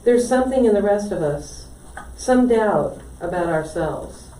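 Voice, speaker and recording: A woman's voice: medium pitch, expressive, unhurried, dark tone. A woman reading a poem aloud at a live poetry reading. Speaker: poetry reader. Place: indoor library poetry reading. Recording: echoey room, distant mic, faint noise.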